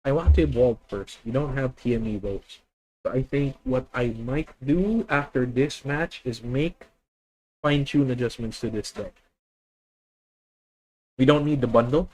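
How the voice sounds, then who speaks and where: A man's voice: medium pitch, measured pace, long pauses, darkish tone, poor audio. A man with a Filipino accent streaming a card game, methodically thinking aloud and correcting himself as he plans each move. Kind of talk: live deck-test commentary.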